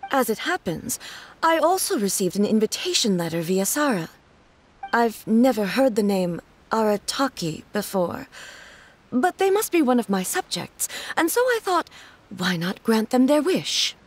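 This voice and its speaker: A woman voicing a regal, stern divine ruler in a video game, formal and measured. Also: fairly high pitch, very animated. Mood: composed.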